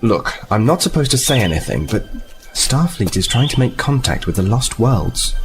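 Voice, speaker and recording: An actor playing a polite, earnest and somewhat halting envoy from a space fleet in a science fiction audio drama. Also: male voice, mid-range voice, big pitch swings, over music, natural voice. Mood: earnest, persuasive. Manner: apologetic, halting, then insistent. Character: polite, earnest, hesitant Starfleet envoy on assignment.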